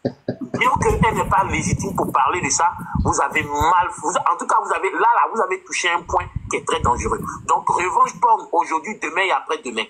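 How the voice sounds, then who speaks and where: A man's voice: fairly high pitch, expressive, a little fast, sharp tone, poor audio. A man giving a heated, indignant monologue in a clip from a live stream, repeating himself as he accuses others.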